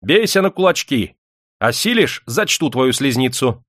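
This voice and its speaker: A man's voice: mid-range voice, big pitch swings, a little slow, fairly bright tone, dry recording, clean studio sound, pitched above the performer's own voice. A man voicing a domineering, gleefully cruel eighteenth-century factory owner in an audiobook, bellowing and crowing his exclamations. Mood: excited, gleeful. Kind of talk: dramatised audiobook character voice.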